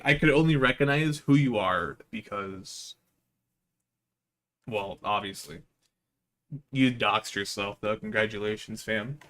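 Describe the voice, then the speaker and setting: A man's voice: medium pitch, a bit bright. A man livestreaming with slangy, playful, rambling casual chat to his viewers. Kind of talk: casual livestream chat, reading visual novel aloud.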